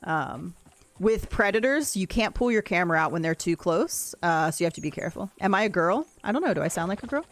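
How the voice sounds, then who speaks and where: Woman, deep voice, gaming livestream, chatty, rambling, reading chat at a desk mic. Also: animated, a little fast. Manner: chatty, rambling, answers chat while playing. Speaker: streamer. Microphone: desk mic, no camera.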